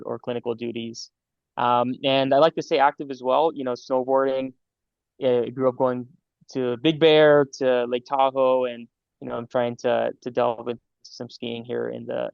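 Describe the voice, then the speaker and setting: Guest: young man, medical student, gravelly and monotone, chatty and halting, dull dry recording. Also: mid-range voice, dry recording. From Los Angeles, California. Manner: friendly, halting with fillers and repeats. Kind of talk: casual interview answers.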